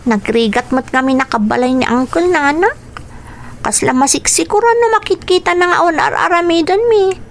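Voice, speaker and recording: High-voiced, dutiful, weary young girl. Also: normal speed, a little hiss or hum. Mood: aggrieved, weary. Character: dutiful, weary young girl living under her uncle's roof. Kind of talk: radio drama acting.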